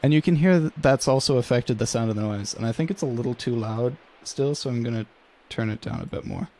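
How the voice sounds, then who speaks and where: A man's voice: mid-range voice, brisk, warm tone. A man presenting an audio-production tutorial in an informal, matter-of-fact, slightly tentative way.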